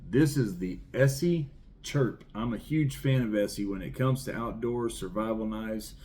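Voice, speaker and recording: A man's voice: on the low side, normal speed, mellow, slight background noise. A middle-aged man with a rural Southern American accent, rambling with enthusiasm as he shows off his collection. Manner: enthusiastic, rambling, repeats words. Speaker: knife collection reviewer. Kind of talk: casual show-and-tell.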